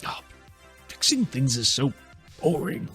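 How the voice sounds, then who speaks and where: A man voicing a dim, vain, easily bored teammate in a tabletop game, with a slow, self-assured manner. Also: medium pitch, big pitch swings, fairly bright tone, soft background music.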